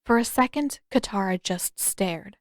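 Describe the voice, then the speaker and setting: A woman's voice: mid-range voice, animated, a little slow, no room sound, studio quality. A woman reading scripted fiction as an audiobook narrator.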